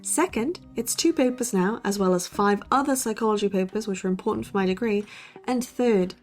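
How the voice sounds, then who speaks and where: A woman playing a studious college student podcaster, deadpan and precise, bantering in an audio drama. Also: medium pitch, brisk, faint music behind, natural voice. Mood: dry, teasing. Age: young adult.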